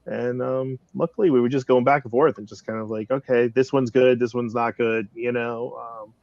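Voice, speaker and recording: A man's voice: mid-range voice, steady tone, moderate pace, gravelly, darkish tone, dry recording, highs cut off. A man chatting casually in a rambling way, punctuating his talk with chuckles. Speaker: director on a production discussion panel. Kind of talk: casual chat.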